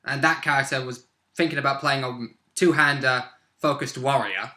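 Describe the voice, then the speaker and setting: A man's voice: medium pitch, fairly even intonation, normal speed, sharp tone. A man speaking as a guest player, chatting informally and jokily about a tabletop game. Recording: roomy echo on the voice.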